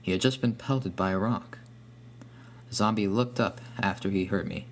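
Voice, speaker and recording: A man's voice: fairly low pitch, normal speed, a little hiss or hum. A man reading a scripted first-person horror story as its narrator.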